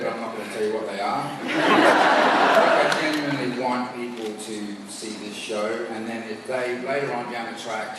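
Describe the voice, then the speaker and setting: A man's voice: lowish voice, background noise. A man on a convention panel, answering a fan in a rambling, joking way, often repeating words. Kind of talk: answering fan questions on stage. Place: large convention hall. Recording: echoey hall from far off.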